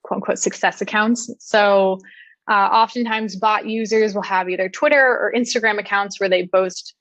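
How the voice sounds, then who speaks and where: A woman giving a technical conference presentation, explaining clearly with frequent "uh" and "um" fillers. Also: mid-range voice, fairly even intonation, moderate pace, a bit bright, no room sound, dull audio. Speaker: conference speaker.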